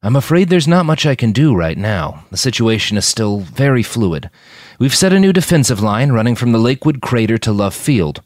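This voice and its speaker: A man voicing a weary older army major in an audiobook, measured and courteous, kindly with forced good cheer. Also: medium pitch, very animated, no room sound, clean studio sound, voice pushed up, lightly acted. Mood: grave, frank.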